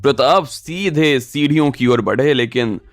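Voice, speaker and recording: Guy narrating an audio story, medium pitch, soft music underneath. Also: unhurried.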